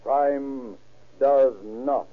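A man's voice: medium pitch, fairly even intonation, extremely slow, noisy background. A man narrating an old radio crime show from a script in a solemn, declamatory way. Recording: tinny, band-limited old radio recording.

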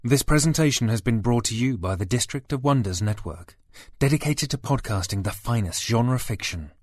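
A man's voice: fairly low pitch, a little mellow, no room sound, clean studio sound. A man announcer reading a scripted podcast sign-off.

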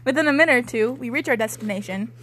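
A woman's voice: a little high, racing, a bit bright, slight background noise. A teenage girl giving a casual comedic dramatic reading, giggly and irreverent, often breaking off to chat. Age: teenager, about sixteen. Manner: giggly, irreverent, swearing, interrupts own reading. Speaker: podcast co-host reading fan fiction aloud.

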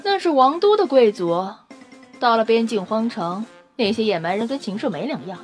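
A woman playing a confident, teasing scientist in an audio drama, brisk and matter-of-fact with a playful, self-satisfied manner. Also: a little high, big pitch swings, soft background music. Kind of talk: Chinese audio drama acting.

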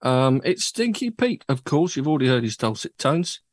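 A man's voice: mid-range voice, very animated, moderate pace, a little hiss or hum. A man hosting a casual chat show, rambling and joking at his own expense. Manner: chatty, rambling, self-mocking. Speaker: podcast host. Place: home studio.

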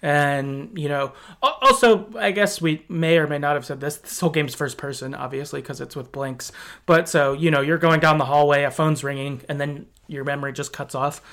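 A man's voice: a little high, fairly bright tone. Casual, hesitant man recapping a story. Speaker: podcast host.

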